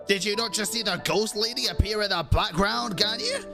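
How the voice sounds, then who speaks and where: A woman's voice: medium pitch, normal speed, music in the background. A woman streaming a game with casual, wry commentary in an informal manner. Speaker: gaming streamer.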